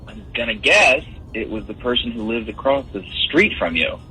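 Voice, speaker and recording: A man's voice: medium pitch, expressive, moderate pace, bright, forceful tone, faint music behind. A man talking conversationally on a phone call to a radio show. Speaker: caller on a radio phone segment.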